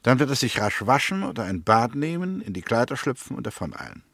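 Prosecutor in an old german radio drama, mid voice, no room sound, suspicious, sure of himself, step by step. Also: male voice, medium pitch, big pitch swings, fairly bright tone, slightly rough, no room sound, full character voice. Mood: deliberate, narrating. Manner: deliberate, insistent, narrates theories step by step. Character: male prosecutor, suspicious, confident, building his case. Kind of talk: 1960s German radio play acting.

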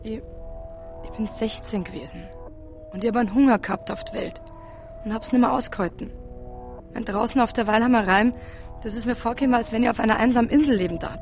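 An actress with a Bavarian accent playing a troubled wife confessing a hard youth in a naturalistic radio drama, plain-spoken and colloquial. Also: female voice, on the high side, quick pace, long pauses, soft background music, close to an everyday voice. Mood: confessing, subdued.

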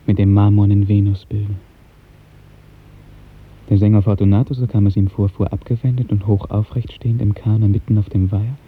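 A man narrating a literary 1950s German radio drama in a dramatic, declamatory style with measured diction. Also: lowish voice, husky. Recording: 1950s radio recording, thin narrow band, music.

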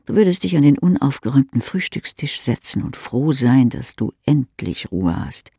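An actor playing an intimate inner-voice narrator in a radio drama, speaking in an insistent, pointed way. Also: male voice, mid-range voice, sing-song, normal speed, vocal fry, dark tone, husky, no room sound, studio quality, exaggerated voice. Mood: bitter, wistful. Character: intimate needling inner-voice narrator addressing a weary wife.

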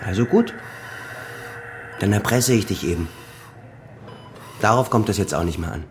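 A man's voice: on the low side, animated, normal speed, a little hiss or hum, natural voice. An actor playing a bitter, sarcastic middle-aged son who accuses his father in a naturalistic radio drama.

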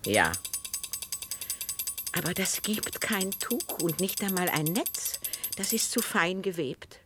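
A woman muses and probes in a literary radio drama. Her delivery is unhurried and deliberate, with a wide lyrical rise and fall. She is calm yet gently insistent. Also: lowish voice, noisy background. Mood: musing, dreamy. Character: calm, musing, probing listener absorbed in knitting.

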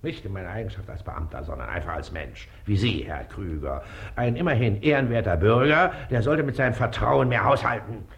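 An actor playing a pompous, impatient district official in a 1950s radio play, curt and condescending. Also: male voice, fairly low pitch, expressive, a little fast, gravelly, a bit bright, muffled recording.

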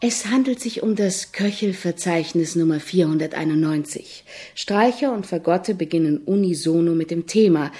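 An actress playing a persistent, highbrow woman music journalist with formal, wordy questioning in a radio play. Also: normal speed, warm tone, natural voice. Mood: insistent, pedantic.

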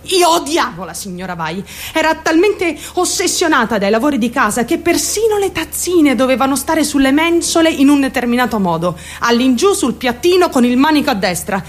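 A woman's voice: high voice, sing-song, fairly quick. An actress playing a troubled, defiant teenage girl who confides her story straight to the listener in a theatrical radio monologue. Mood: resentful, confiding. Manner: confiding, addressing listeners directly, mimicking other voices.

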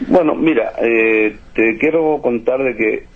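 A middle-aged or older man with a Chilean accent and a husky voice, answering haltingly as a radio interview guest over a dull, lo-fi line. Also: medium pitch. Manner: hesitant, halting.

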